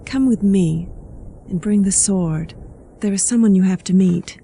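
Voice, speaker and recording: Mysterious cool go-between in a game, slow measured formal voice, bossy but polite, noisy background. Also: female voice, dark tone. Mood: composed, commanding. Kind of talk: video game cutscene acting. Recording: clearly noisy, ambient rumble behind.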